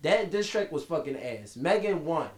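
Podcast co-host, male, animated and emphatic, echoey room. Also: on the high side, normal speed. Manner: animated, emphatic, laughs often. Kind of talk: casual podcast banter.

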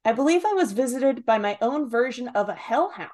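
A woman's voice: on the high side, expressive, brisk, a little mellow. Playful young-sounding woman co-host. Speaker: podcast co-host. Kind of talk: casual group chat, reading a listener email.

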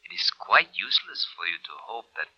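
An actor playing an escaped killer out for revenge in an old radio drama, speaking slowly with mocking, sinister menace. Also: male voice, deep voice, animated, bright, forceful tone, breathy edge, heavily acted. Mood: quiet menace. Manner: slow, sinister, taunting. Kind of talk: 1940s-50s radio drama villain. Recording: muffled old radio recording.

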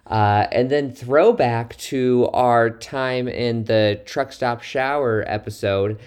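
A man's voice: medium pitch, slow pace, darkish tone, smooth. A man chatting casually and teasingly as a podcast co-host. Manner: playful, teasing. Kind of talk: casual chat.

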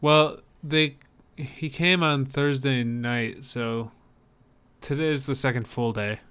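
A man's voice: mid-range voice, fairly even intonation, slow, fairly bright tone, clean tone. A man co-hosting a podcast, talking in an easygoing, conversational way as he chats about films. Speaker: podcast co-host. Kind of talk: casual chat about films.

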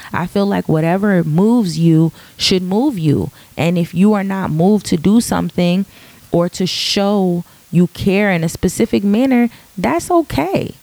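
Woman musing on a podcast, low raspy voice, kinda mellow, lots of ums. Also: no room sound. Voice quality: deep, raspy morning voice. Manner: reflective, earnest, many ums and restarts. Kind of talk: casual solo podcast monologue.

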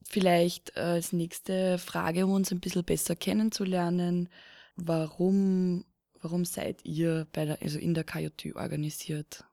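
A young woman from Lower Austria, hosting a casual podcast with frequent hesitations and fillers. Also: flat delivery, measured pace, gravelly, mellow, dry recording, studio quality. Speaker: podcast host.

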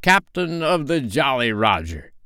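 A man's voice: medium pitch, big pitch swings, unhurried, pushed and bright, smooth, dry recording, studio quality, pitched above the performer's own voice, heavily acted. A man voicing a cheeky storybook boy who boldly and mockingly plays at being a pirate. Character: cheeky boy mimicking a pirate captain to taunt him.

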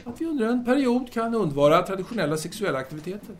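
A man acts out a self-absorbed, absent-minded philosopher in an audio drama. His voice sits fairly high, and he speaks in formal, wordy, hesitant sentences. There is faint noise behind him. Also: sing-song. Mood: rationalizing.

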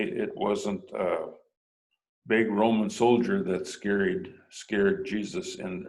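Male preacher, unhurried and thoughtful, low voice with heavy vocal fry. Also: low-quality recording. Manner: thoughtful, prompts listeners with "hmm?" and "huh?". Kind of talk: reflective sermon lecture.

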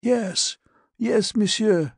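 A man voicing a frail, withered young patient who speaks, weak and halting. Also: high-pitched, gravelly, slightly rough, no room sound, studio quality, pitched above the performer's own voice, full character voice. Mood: weak, dazed. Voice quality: cracked whisper.

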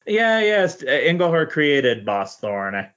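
A man speaking as a podcast guest in a casual comic book discussion, halting and often repeating words. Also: a little high, sing-song, a bit bright. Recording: dull lo-fi voice recording.

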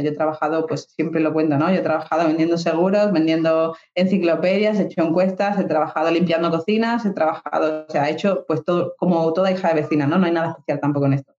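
A woman's voice: lowish voice, warm tone, echoey room, dull audio. A woman in her thirties from Spain with an Andalusian accent, a psychologist and coach talking reflectively as an interview guest, often tagging her sentences with little questions. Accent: Andalusian Spanish. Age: 30s. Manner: reflective, frequent tag questions. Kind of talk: casual interview talk.

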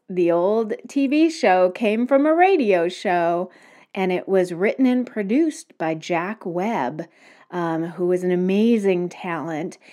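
A woman hosting her own podcast, talking in a gentle, conversational way from a cottage in the woods. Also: on the low side. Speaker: podcast host. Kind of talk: solo podcast talk.

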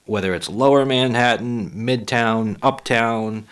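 A man hosting a solo podcast, a little hoarse, talking in a rambling, profane, self-deprecating way. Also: medium pitch, unhurried, fairly bright tone. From Boston area. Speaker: podcast host and stand-up comic. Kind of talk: casual solo podcast monologue.

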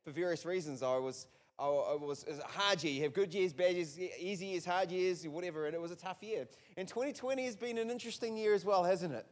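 Chatty New pastor preaching. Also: male voice, sits high, quick pace. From New Zealand. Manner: conversational, many restarts and rhetorical questions. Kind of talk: sermon. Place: church hall. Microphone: handheld or stage mic.

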